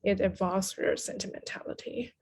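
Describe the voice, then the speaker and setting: A woman's voice: medium pitch, little pitch movement, normal speed. A woman from Taiwan speaking as a guest in a casual interview, explaining things in a conversational way. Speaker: guest, literary translator.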